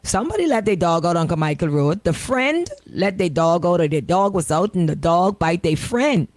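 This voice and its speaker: A woman's voice: lowish voice, normal speed, no echo. Bahamian woman hosting radio in a dry studio. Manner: animated, emphatic, colloquial. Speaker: radio host. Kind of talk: casual radio chat. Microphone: close studio microphone.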